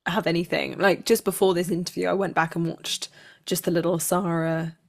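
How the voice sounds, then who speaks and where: A woman's voice: on the low side, little pitch movement, a little fast, creaky. A woman speaking as an actor being interviewed, answering in a reflective, articulate and conversational way.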